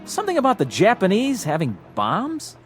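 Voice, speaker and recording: An actor playing a nervous, deferential young army orderly, eager and uncertain, in a radio drama. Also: male voice, high voice, wildly swooping pitch, normal speed. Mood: flustered.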